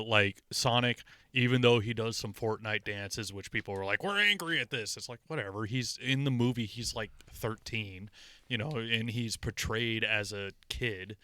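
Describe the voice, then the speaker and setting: A man with a bright, punchy voice and a clean, resonant tone, captured close and dry. He talks like an opinionated podcast co-host, rambling and animated, swearing casually as he goes. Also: fairly low pitch, smooth, no room sound. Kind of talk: casual opinionated film and game chat.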